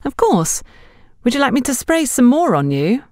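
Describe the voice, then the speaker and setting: Woman acting earnest young trainee salesgirl, high-voiced. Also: very animated, moderate pace, darkish tone, dry recording, clean studio sound. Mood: eager. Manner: polite, eager to help.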